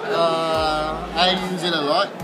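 A man's voice: on the high side, level tone, slow. A man giving a casual, jokey hands-on explanation as a hobby builder. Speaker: model kit builder being interviewed. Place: busy indoor convention hall. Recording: loud music and crowd behind.

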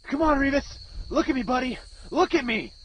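Very high, urgent young soldier. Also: male voice, expressive, normal speed, husky, dry recording, full character voice, low-quality recording. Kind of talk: video game war drama.

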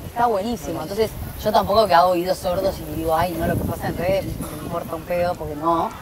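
A woman's voice: fairly low pitch, fairly even intonation, fast, heavy background noise. A young woman in her twenties with an Argentine accent, chatting casually as a guest on an outdoor terrace, full of fillers and restarts. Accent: Argentine Spanish. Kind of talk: casual chat. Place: outdoor terrace, seated at a table. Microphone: lapel.

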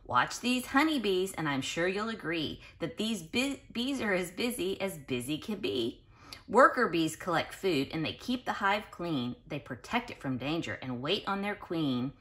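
A woman reading a children's picture book aloud in an expressive, sing-song way, speaking directly to young listeners. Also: lowish voice. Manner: pauses to explain and question listeners directly. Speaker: children's read-aloud host.

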